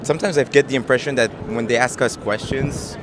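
Soft-spoken young man on a busy street. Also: mid-range voice, a little fast. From Japan. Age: 20s. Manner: soft-spoken, hesitant, many fillers, laughs easily. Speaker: interviewee. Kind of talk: casual street interview. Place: busy city square outdoors. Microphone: handheld mic. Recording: busy street crowd behind.

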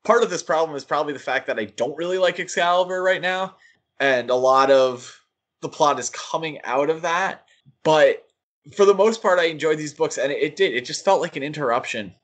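A man co-hosting a podcast, giving casual critical commentary in a halting, thinking-aloud way. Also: fairly high pitch, a bit bright. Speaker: podcast co-host. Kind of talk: casual critical comics discussion.

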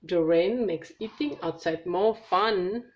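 A woman voicing a friendly, easygoing kangaroo parent from a storybook, with cheery, drawn-out calls. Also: lowish voice. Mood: cheery, welcoming. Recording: dull home recording with noise.

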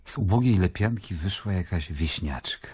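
An actor playing a grave Roman governor who confides in his brother, in a measured, solemn radio-drama narration. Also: sits low, lively intonation, a little mellow, breathy edge, no room sound, exaggerated voice. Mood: brooding, moved. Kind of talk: radio drama, epistolary first-person narration. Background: music.

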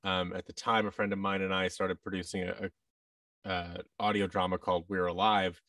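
A man's voice: low voice, level tone, fairly quick, sharp tone, no echo, studio quality, distant mic. An American man in his thirties chatting casually as an interview guest, in a rambling, self-deprecating way. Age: 30s. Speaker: guest, actor and audio drama producer. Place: large indoor office room. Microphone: boom-arm microphone, headset, video call.

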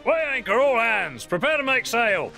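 An actor playing a roguish, eccentric pirate captain with theatrical, swaggering commands in a game cutscene. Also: male voice, very high-pitched, sing-song, normal speed, gravelly, heavily acted. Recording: loud music behind the voice.

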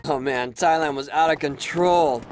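A man's voice: a little high, moderate pace, over loud music, natural voice. An actor playing an easygoing, decisive pro skater who leads his team in a video game. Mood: upbeat. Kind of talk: video game cutscene acting. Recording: loud outdoor traffic noise.

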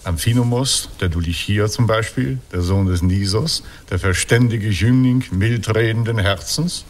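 An actor in a German radio play playing wily old Odysseus, a teasing, unhurried, mock-courtly husband. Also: male voice, lowish voice, slight background noise, close to an everyday voice.

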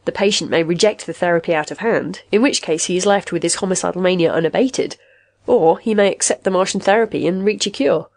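A woman's voice: lowish voice, darkish tone, dry recording, lightly acted. Woman reading a dry, authoritative male expert. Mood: matter-of-fact. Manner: measured, dry explanations. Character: authoritative, matter-of-fact male technical expert.

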